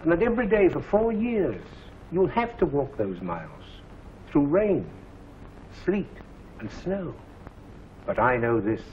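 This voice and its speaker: An actor playing a solemn, earnest college head, speaking and praying in measured old-film oratory. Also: male voice, on the high side, sing-song, slight background noise. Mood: earnest, rousing. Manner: slow, measured, declamatory. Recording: tinny old film soundtrack with music.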